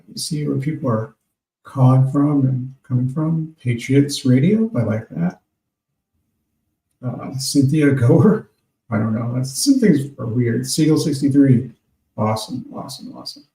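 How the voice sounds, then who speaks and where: A man in his late fifties chats loosely as host of an internet radio show, drifting from topic to topic. His voice is gravelly and mellow. He sits away from the mic, and the room is echoey. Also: mid-range voice, distant mic. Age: 50s. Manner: meandering, reads out listener names. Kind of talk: rambling casual talk to listeners.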